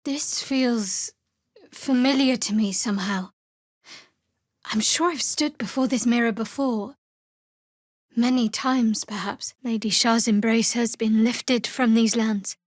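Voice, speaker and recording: Reservierte, trockene junge Klerikerin, eher hohe Stimme. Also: female voice, on the high side, dry recording. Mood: pensive. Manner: measured, dry, wry delivery. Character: guarded, wry young half-elf cleric woman, devout. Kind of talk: fantasy video game voice acting.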